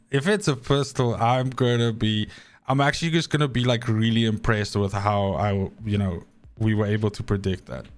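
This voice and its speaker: A man, an Afrikaans speaker, rambling and swearing freely as he streams a game and talks to his chat. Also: fairly low pitch. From South Africa. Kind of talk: live gameplay stream chat.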